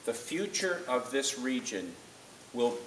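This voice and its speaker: A man in his fifties from northern New York, a candidate introducing himself in a measured campaign speech. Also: medium pitch, sing-song, fairly bright tone, slight background noise. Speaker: congressional candidate. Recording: echoey hall, voice from a distance.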